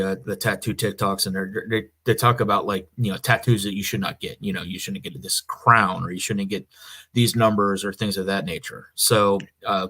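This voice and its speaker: Low-voiced American man chatting casually. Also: a bit bright. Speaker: podcast co-host. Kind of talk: casual conversational podcast chat.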